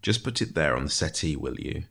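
Guy putting on a witty dungeon prisoner, dry and precise, florid talk, low pitched storybook character. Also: very animated, normal speed, creaky, a bit bright, exaggerated voice. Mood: wry, playful. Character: wry, precise, theatrical male prisoner in a dungeon.

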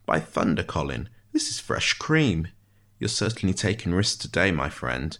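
A man's voice: low voice, big pitch swings, normal speed, fairly bright tone, exaggerated voice. Man doing a dry, wry, theatrical prisoner. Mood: sly, jovial. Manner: precise, wry, florid. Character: wry, precise, theatrical male prisoner in a dungeon.

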